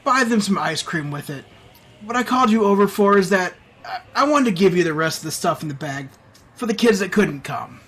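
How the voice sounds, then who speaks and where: A man playing a kindly, modest store Santa who talks in a casual, gentle way. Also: high voice, animated, a little fast, slightly rough, full character voice. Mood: kindly, generous.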